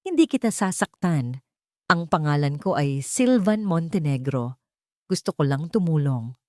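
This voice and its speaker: A woman with a Filipino accent voicing a calm, commanding, protective rich man in an audio story, measured and softly spoken. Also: low-pitched, dry recording, studio quality. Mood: reassuring. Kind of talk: dramatic audio storybook dialogue.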